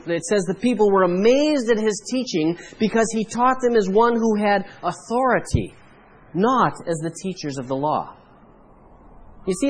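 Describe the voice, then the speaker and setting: Canadian pastor preaching, high voice. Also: male voice, slightly rough. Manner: measured preaching with emphatic pauses. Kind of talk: sermon.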